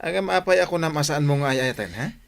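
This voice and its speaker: A man playing a troubled young man in a radio comedy drama, confiding earnestly with sudden loud bursts. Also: fairly high pitch, lively intonation, fast talker, creaky. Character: young man torn over an arranged engagement.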